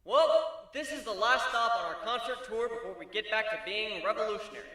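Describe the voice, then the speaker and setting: A man's voice: high voice, a little fast, bright, forceful tone, heavy reverb, recorded from a distance, pitched above the performer's own voice. A man voicing a brash, cocky male griffin who teases and shows off in a dramatic story reading. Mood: brash showman. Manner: cocky, teasing, showy.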